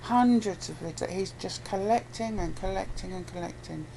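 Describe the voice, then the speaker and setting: A woman's voice: medium pitch, moderate pace, vocal fry, dark tone. A British woman chatting casually and bluntly as an interviewee, with some swearing. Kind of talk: casual conversation. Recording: noisy amateur recording with background noise.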